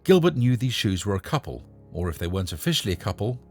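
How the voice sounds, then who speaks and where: A man with a husky voice narrating a short story in a measured, expressive storytelling manner. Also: fairly low pitch, soft background music. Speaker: narrator and host reading short stories. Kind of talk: scripted story narration with a short casual introduction.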